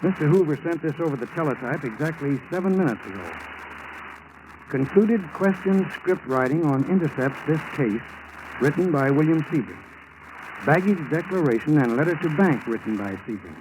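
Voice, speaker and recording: On a noisy old radio recording, an actor plays a brisk American male FBI chief with a fairly high voice. He is terse and commanding, with lively swings of pitch, in 1940s radio drama style. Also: natural voice. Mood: grave, official. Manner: terse, authoritative.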